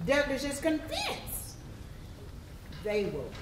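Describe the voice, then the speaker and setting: A woman narrating scripted history in readers theatre with emphatic, measured phrasing, in an echoey and noisy hall. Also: high-pitched, huge pitch swings. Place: live stage performance in a hall. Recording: echoey, distant mic, noisy.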